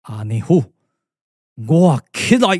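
A man with a Taiwanese accent voicing a wise, commanding older strategist in a measured, theatrical puppet radio drama. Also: fairly high pitch, sing-song, noisy background, voice pushed down. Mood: calm, commanding. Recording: echoey reverb with music behind.

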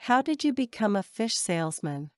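A woman reading short English phrases for a language lesson, saying each one slowly and carefully twice. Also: mid-range voice, very animated, very noisy. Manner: measured, careful enunciation. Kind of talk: slow repeated drill phrases.

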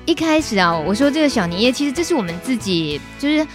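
A woman's voice: high voice, big pitch swings, fast, over music. A middle-aged woman with a Taiwanese Mandarin accent, hosting a radio show in a casual, teasing, chuckling way. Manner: playful, teasing, often chuckling.

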